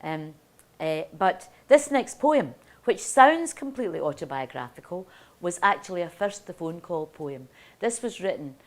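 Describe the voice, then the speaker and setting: An older woman with a Motherwell Scottish accent, softened by Glasgow, reading her poems aloud and talking casually between them as a poet giving a lecture. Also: sits low. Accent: Motherwell Scottish, Glasgow-tinged. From Scotland. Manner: rhythmic, deliberate reading of verse, then chatty asides with ums. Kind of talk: poetry reading and lecture talk.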